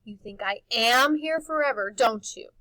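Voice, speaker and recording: A woman's voice: fairly high pitch, normal speed, bright, forceful tone, dry recording. Woman voicing a defiant, insistent young storybook boy. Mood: agitated, demanding. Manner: insistent, challenging questions.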